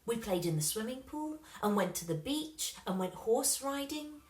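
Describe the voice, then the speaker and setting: A woman reading a children's story aloud with expressive, dramatic emphasis. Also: on the high side, normal speed, roomy sound. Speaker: storybook reader.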